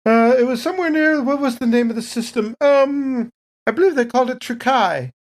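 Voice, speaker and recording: A man's voice: very high voice, a little fast, a little hiss or hum. A man voicing a genteel, scatterbrained lady android tourist in a tabletop game, polite and rambling. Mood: flustered, chatty. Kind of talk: tabletop role-play acting.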